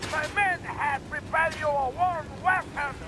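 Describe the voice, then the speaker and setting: An actor playing a menacing, boastful mercenary colonel taunting his enemies in a drawn-out shout in a video game. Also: very high voice, noisy background.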